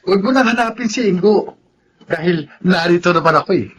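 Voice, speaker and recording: A cocky, jealous suitor from a Filipino radio drama acted by a man. His voice sits high for a man and he taunts theatrically, with a little noise behind him. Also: a little fast, highs cut off, close to an everyday voice. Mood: smooth flirting. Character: jealous, cocky, menacing male suitor turned violent rival. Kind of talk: Tagalog radio drama acting.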